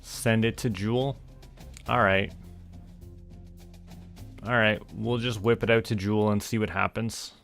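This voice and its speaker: A man's voice: on the low side, fairly even intonation, measured pace, vocal fry, long pauses, a bit bright, dry recording, soft background music. A man with a Canadian accent doing casual solo gameplay commentary as a streamer, musing to himself as he goes. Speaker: game streamer.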